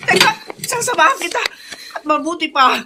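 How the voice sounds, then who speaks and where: A woman's voice: very high-pitched, sing-song, moderate pace, very noisy, full character voice. A woman playing a caring, easily flustered older housekeeper in a Filipino radio drama, motherly and exclaiming. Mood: panicked.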